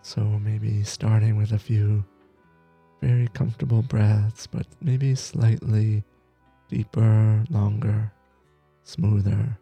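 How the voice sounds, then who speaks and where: A man leading a guided meditation as teacher, gentle and soothing, with soft breathy phrases that trail off between long pauses. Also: lowish voice, level tone, faint music behind. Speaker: meditation teacher, podcast host.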